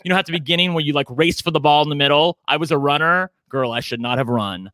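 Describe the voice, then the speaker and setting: A man's voice: a little high, quick pace, pushed and bright, no echo, studio quality. A man in his forties co-hosting a podcast, talking in a chatty, campy, self-deprecating way. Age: 40s. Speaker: podcast co-host. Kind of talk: casual chat.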